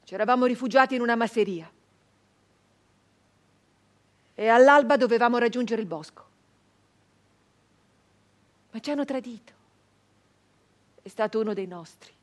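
High-voiced actress as an anguished captured brigand woman. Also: natural voice. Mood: grave, tense. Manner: halting, emphatic, repeating phrases with long weighted pauses. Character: captured Italian brigand woman of the 1800s, anguished, defiant, doomed. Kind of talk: theatrical dramatic monologue for radio.

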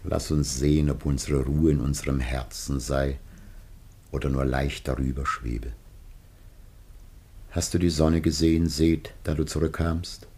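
Old dying patriarch, extremely low voice, slow, husky, sorrowful, declamatory radio drama. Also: male voice, steady tone, dark tone, exaggerated voice. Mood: quiet, resigned. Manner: grave, declamatory, lamenting. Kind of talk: solemn classical German radio play acting.

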